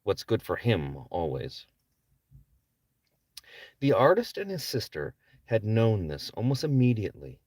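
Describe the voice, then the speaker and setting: A man's voice: low-pitched, a little mellow, dry recording, studio quality. A man reading his own novel aloud as a scripted audiobook narration. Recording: distant microphone.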